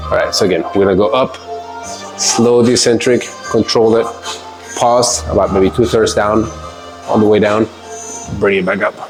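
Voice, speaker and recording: A man's voice: lowish voice, normal speed, pauses often, over music. A man with a stuffy, congested voice talking casually through a workout, rambling with fillers. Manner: relaxed, rambling, with fillers and laughs. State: congested from a cold. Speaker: fitness vlogger. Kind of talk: casual workout vlog talk.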